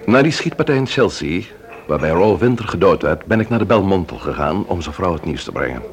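An actor playing a serious, probing investigator in a Dutch radio crime drama. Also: male voice, sits low, sing-song, fairly quick.

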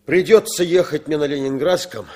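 A man's voice: a little high, moderate pace, darkish tone, close to an everyday voice. An actor playing a brash, blunt telephone lineman who pushes his way along in an old radio play. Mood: brisk, businesslike. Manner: blunt, colloquial, self-important. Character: brash, pushy male telephone lineman in a hurry. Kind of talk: 1960s Soviet radio play acting.

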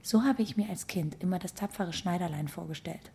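A woman's voice: on the low side, fairly quick, creaky, mellow, slight background noise. An actress playing a fearless, cool, scheming woman in a radio play, with a blunt, mocking manner. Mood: mocking amusement. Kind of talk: radio play acting in German.

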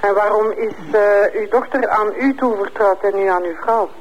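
Flemish woman questioning over clear background noise. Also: medium pitch, moderate pace, muffled recording. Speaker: questioner in an interrogation. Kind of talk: halting, probing questioning.

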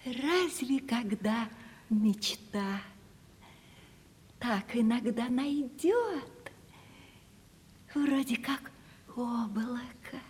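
An actress playing a sly, gossipy, fawning older servant widow in a Russian radio comedy, speaking in an obsequious, insinuating, folksy way. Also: female voice, on the high side, extremely slow, pauses often, a little mellow. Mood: coy. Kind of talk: 1970s Russian radio theatre comedy acting. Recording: reverberant studio radio play recording.

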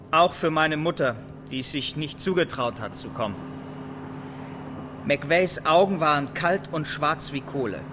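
A man playing an earnest, bereaved security guard giving a halting public witness statement in a radio drama. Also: fairly high pitch, noisy background, close to an everyday voice.

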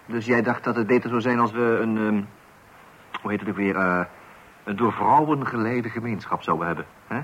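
A man's voice: fairly low pitch, brisk, a bit bright, slightly rough. An actor playing a wry husband who narrates his family life in a radio play with mock-solemn musing. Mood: musing, nostalgic. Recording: dull, hissy old radio recording.

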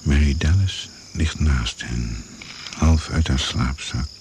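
An actor narrating a radio drama as a grave, measured storyteller in terse sentences. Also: male voice, extremely low pitch, a little mellow. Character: grave male narrator setting jungle scenes. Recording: noisy old radio play recording.

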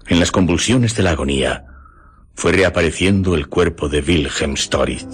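A man playing a grave eyewitness narrator in a radio drama, husky and measured. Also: deep voice. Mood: grave, tense. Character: grave male eyewitness recounting an adventure tale.

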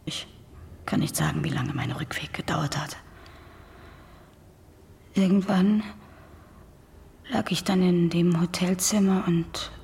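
An actress playing a traumatised, guilt-ridden wife in a radio drama, speaking haltingly and often sinking into a breathy near-whisper. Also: female voice, on the low side, a little mellow. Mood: numb, confessing. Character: traumatised, guilt-ridden wife confessing to killing her husband. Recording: faint background noise.

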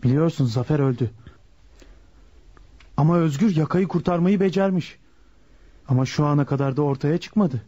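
An actor playing a firm, insistent gang lawyer in a radio drama, speaking articulately. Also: male voice, a little high, normal speed, long pauses, warm tone, slightly rough. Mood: quiet, probing. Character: assertive male lawyer working for a gang boss. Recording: dull lo-fi radio recording.

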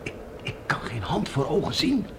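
An actor playing a nervous, deferential subordinate in a radio drama, jumpy and apologetic. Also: male voice, high-pitched, huge pitch swings, normal speed, gravelly, noisy background, exaggerated voice. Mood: uneasy.

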